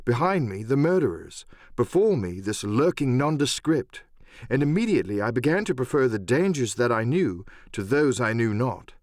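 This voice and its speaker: Male storyteller for adventure audiobook: mid-pitched, highly animated, measured dramatic phrasing. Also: no echo, clean studio sound. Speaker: audiobook narrator. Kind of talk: dramatic scripted narration of an adventure novel.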